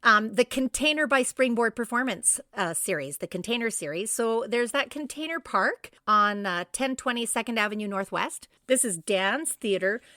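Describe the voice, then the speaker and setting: A middle-aged woman with a bright, forward voice co-hosts a podcast in a casual, enthusiastic style. The sound is dry and close. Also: on the high side, expressive, normal speed, few pauses, dry recording. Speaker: podcast co-host. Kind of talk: casual event listings and chat.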